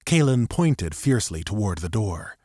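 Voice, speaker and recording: Casting: male audiobook narrator, lowish voice, scripted story narration, close dry sound. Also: big pitch swings, moderate pace, dark tone, dry recording.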